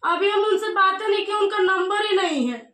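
A woman with an eastern Hindi-belt accent speaks in a very high, bright and forceful voice. She remonstrates insistently, as in a phone conversation, repeating herself to press her point. The sound is echoey, like a small bare room. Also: moderate pace. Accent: eastern Hindi belt (Bihar/eastern UP). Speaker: vlogger. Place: small bedroom indoors. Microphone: camera a short distance away. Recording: echoey small room, faint noise, clicks.

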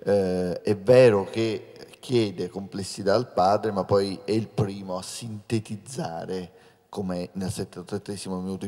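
A middle-aged man, an author on a panel, talking in a halting way with many fillers, handheld mic on stage. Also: lowish voice, darkish tone. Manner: halting, searching for words. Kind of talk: casual panel talk. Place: stage in a large hall. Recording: long hall reverb.

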